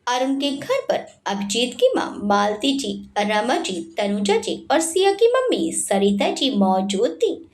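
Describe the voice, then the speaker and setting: A woman narrates a romantic story in an expressive, read-aloud manner. Her voice is high and very lively in pitch. The recording has a roomy echo. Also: slow, hardly any pauses.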